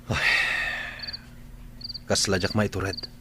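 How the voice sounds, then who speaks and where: A man's voice: deep voice, level tone, slow pace. An actor playing a troubled young man torn between two loves, earnest and burdened, in a radio drama. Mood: troubled, defensive. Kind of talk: Ilocano radio drama acting. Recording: noisy track with clicks and insects.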